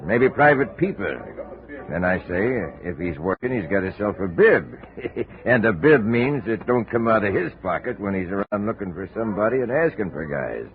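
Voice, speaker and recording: A streetwise American bartender in a 1950s radio detective drama, cagey and slangy. The low voice slides widely up and down, and it comes through a muffled, narrow old radio recording. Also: male voice, big pitch swings, normal speed. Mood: needling. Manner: slangy, sly, evasive. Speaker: supporting radio actor.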